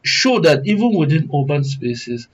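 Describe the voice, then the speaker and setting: A man with a Nigerian accent giving serious panel commentary in a deliberate, emphatic, oratorical way. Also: a little high, sing-song, breathy edge, highs cut off. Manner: deliberate, emphatic, oratorical, repeats phrases for weight. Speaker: guest commentator, good governance advocate.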